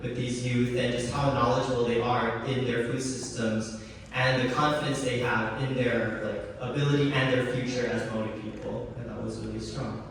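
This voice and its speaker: A man's voice: mid-range voice, steady tone, normal speed, distant mic, a little hiss or hum. Casual young man in echoey hall. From Hawaii. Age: young adult. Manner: casual, hesitant, many fillers. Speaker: student presenter. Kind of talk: conference presentation. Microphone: distant room mic.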